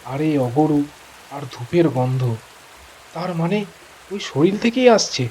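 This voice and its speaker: Male storyteller for horror audio: slightly high-pitched, theatrical and suspenseful, reading over rain noise. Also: sing-song, measured pace, dark tone, low-quality recording. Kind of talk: dramatic scripted narration of a horror story.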